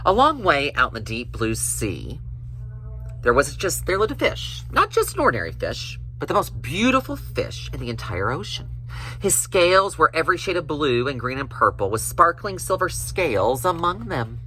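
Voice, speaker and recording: A man telling a children's story in an expressive storybook reading style. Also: a little high, normal speed, poor audio.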